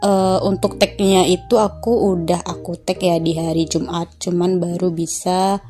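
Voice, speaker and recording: A young adult woman, hoarse from a cough, giving a chatty voice-over for her personal finance vlog with frequent "eh" fillers. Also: lowish voice, little pitch movement, measured pace, warm tone, faint music behind. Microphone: home voice-over mic.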